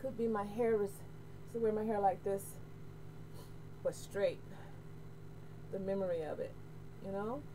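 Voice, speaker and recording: A middle-aged American woman chatting casually to camera, candid and expressive, repeating phrases for emphasis. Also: mid-range voice, unhurried, long pauses. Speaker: hair vlogger. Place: small room at home, indoors. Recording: noisy home webcam audio.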